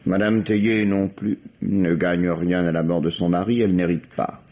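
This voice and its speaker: Police commissioner male actor: very low voice, shrewd, formal, world-weary, deliberate pauses, old French radio drama with muffled sound. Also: fairly even intonation, a little mellow, lightly acted. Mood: measured, ironic. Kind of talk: vintage French radio mystery acting. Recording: dull, band-limited old radio recording.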